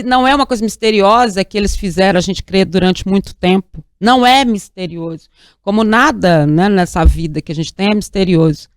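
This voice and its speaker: A woman's voice: mid-range voice, no echo. A woman hosting an interview show, speaking enthusiastically and emphatically, often tagging her sentences with "né?".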